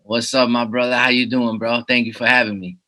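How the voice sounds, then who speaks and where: A man's voice: mid-range voice, flat delivery, fairly quick, bright, forceful tone. A man in his thirties who grew up in the Netherlands, a rapper talking as an interview guest in a laid-back way, full of "you know what I'm saying" fillers. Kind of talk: casual interview.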